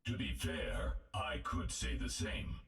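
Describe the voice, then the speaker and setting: An actor playing a cold, arrogant killer robot in a video game, speaking formally and precisely with a gravelly voice. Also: male voice, extremely low pitch, very animated, slow, crowd noise, full character voice. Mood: haughty.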